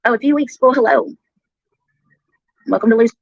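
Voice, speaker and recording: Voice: medium pitch, normal speed, dry recording. A livestreamer talks casually in a chatty, sarcastic way, with swearing thrown in. The sound is close and dry, slightly dull and lo-fi. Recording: dull lo-fi replayed livestream audio.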